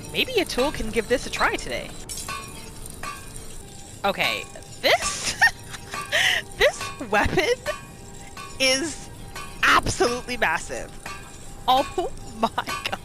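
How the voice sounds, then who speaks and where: A woman giving casual, chatty roleplay commentary as the host of a gaming channel, narrating in an expressive way. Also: a little high. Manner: expressive, chatty, narrating characters' thoughts in third person.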